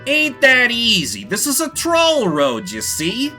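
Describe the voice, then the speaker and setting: A man's voice: very high-pitched, wildly swooping pitch, slow, bright, forceful tone, faint music behind, voice pushed up, heavily acted. A man voicing a cocky, shifty rat bandit in video game dialogue, with a theatrical, menacing delivery. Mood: smug.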